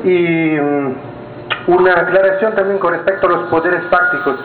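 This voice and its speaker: A man's voice: high voice, steady tone, normal speed. Hesitant man in an echoey hall. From Italy. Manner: hesitant, searching for words. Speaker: audience member asking questions. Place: meeting hall with an audience. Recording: echoey room over a tinny lo-fi line.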